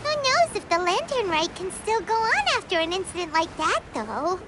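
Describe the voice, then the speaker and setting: A woman voicing a tiny, excitable childlike fairy girl companion with a squeaky, bubbly delivery in an anime-style video game. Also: moderate pace, very noisy. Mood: relieved, chatty. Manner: bubbly, exclamatory, refers to self by name. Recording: game music behind voice.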